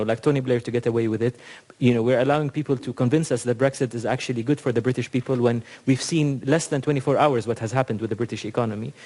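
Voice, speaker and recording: A man speaking at a rapid clip, pressing his points hard in a conference hall. His pitch sits at an ordinary middle height, and he fills gaps with "you know" and "uh". The delivery is argumentative and emphatic. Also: dark tone. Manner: emphatic, argumentative, many fillers. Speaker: panel speaker. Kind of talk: impassioned panel remarks.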